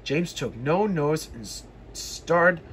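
A high-pitched man reads a storybook aloud at a slow pace. His delivery swings widely in pitch and is halting, with words repeated and corrected as he goes. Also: expressive, a bit bright, slight background noise. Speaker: storybook reader. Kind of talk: read-aloud children's story narration.

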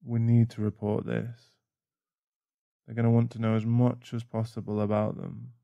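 A man's voice: mid-range voice, little pitch movement, normal speed, vocal fry, warm tone, no echo, lo-fi sound, close to an everyday voice. A man playing a protective, tender werewolf boyfriend in an ASMR roleplay, speaking in a soothing, reassuring manner. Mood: calm, reassuring.

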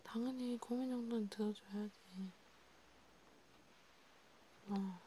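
Slow, hushed woman as a gentle vampire counselor. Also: mid-range voice, fairly even intonation, slight background noise, lightly acted. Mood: patient, soothing. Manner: halting, hushed, reassuring. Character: young vampire counselor, gentle, patient, wounded. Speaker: amateur ASMR creator. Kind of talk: soft-spoken, half-whispered ASMR roleplay.

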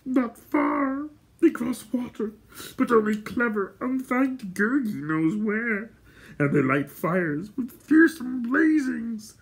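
A man's voice: extremely high pitch, expressive, slow pace, creaky, slightly rough, voice pushed up, full character voice, low-quality recording. A man voicing a cringing, hungry wild creature in a storybook, fawning and self-pitying, with whining, howling, childlike speech.

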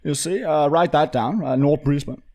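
A man voicing a persistent, bumbling foreign pig farmer in halting broken English on a prank phone call. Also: a little high, darkish tone, close to an everyday voice. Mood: halting, earnest. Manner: halting, pleading, pushy salesman. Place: podcast studio, close boom or handheld microphone.

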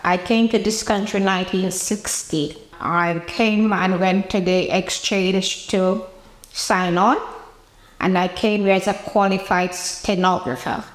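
An elderly woman with a Jamaican accent shares personal memories as an interviewee. Her voice sits at an average pitch for a woman. The indoor room gives it a clear echo. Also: steady tone, unhurried. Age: 80s. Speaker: interviewee recalling her past. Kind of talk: personal reminiscence to camera. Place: indoor room with bare walls, seated interview. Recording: roomy, echoing indoor interview.

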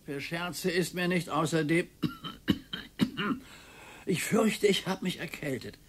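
A man's voice: on the high side, takes it slowly, a bit bright. An older man playing a needy, sentimental retired husband in a radio play, plaintive and nostalgic. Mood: grumbling.

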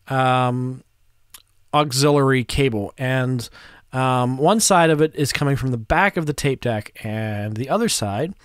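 Guy in his 30s doing a casual how-to, smooth voice, close dry mic, kinda hesitant and unhurried. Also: mid-range voice, dry recording. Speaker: audio restorer presenting a how-to. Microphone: close microphone in front of him.

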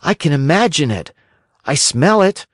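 Man doing a whiny high puppet boy. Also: sing-song, a little slow, no room sound, studio quality. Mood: whining. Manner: whiny, wheedling. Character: whining naughty little puppet boy.

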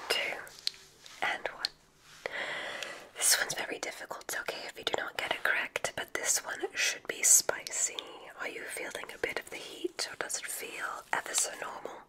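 Woman whispering a slow ASMR roleplay. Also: low-quality recording. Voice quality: breathy whisper. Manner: gentle, soothing, deliberate, encouraging.

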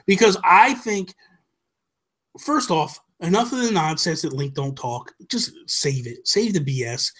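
A man's voice: fairly high pitch, lively intonation, a little slow, fairly bright tone, breathy edge. A man in his thirties chatting casually as a podcast host, emphatic and opinionated, on a close desk microphone. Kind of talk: animated casual chat about games and films. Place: small home room, indoors. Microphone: close desk microphone with headphones.

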